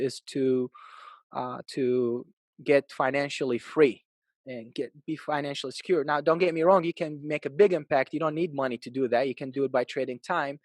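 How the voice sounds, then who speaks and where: A man in his thirties from Iraq, a podcast guest telling his life story in an upbeat, animated way. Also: a little high, no echo. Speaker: podcast guest, real estate agent. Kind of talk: casual interview storytelling.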